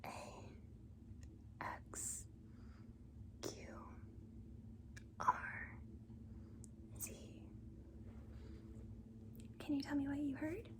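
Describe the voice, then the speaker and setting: A woman doing a soft-spoken ASMR nurse roleplay, mostly in a breathy whisper, with a gentle, reassuring manner. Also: high voice, fairly even intonation. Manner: gentle, reassuring, slow and deliberate. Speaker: ASMR performer playing a school nurse.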